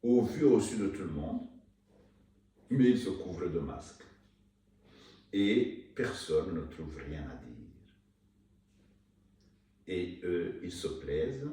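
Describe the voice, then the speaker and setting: A man from Rwanda, an author being interviewed, talking haltingly with many restarts and fillers. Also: sits low, dark tone. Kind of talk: hesitant interview talk. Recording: echoey room, mic far off, faint noise.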